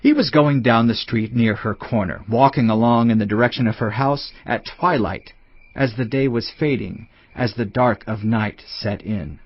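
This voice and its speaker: A man giving a dramatised Bible narration as a solemn, admonishing fatherly teacher. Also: fairly low pitch. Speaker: scripture narrator. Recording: slightly muffled, music bed behind. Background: background music.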